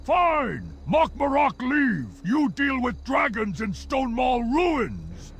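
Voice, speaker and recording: A man's voice: extremely high pitch, sing-song, slow, gravelly, fairly bright tone, a little hiss or hum, heavily acted. A man voicing a dim, blustering ogre chief in a video game, speaking emphatically in broken grammar. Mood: indignant. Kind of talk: video game character acting.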